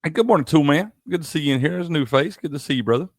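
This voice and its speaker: A man's voice: a little high, lively intonation, very fast, dry recording, studio quality. A man co-hosting a sports betting stream, laid-back and drawling, who ends his sentences with "man.". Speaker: co-host. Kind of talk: casual live-stream chat.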